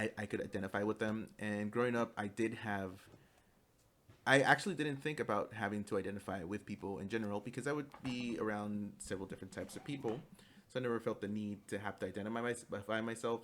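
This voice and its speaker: Halting young man, low voice. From United States. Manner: halting, many fillers and restarts; switches into fluent Spanish. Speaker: student. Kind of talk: spoken class presentation.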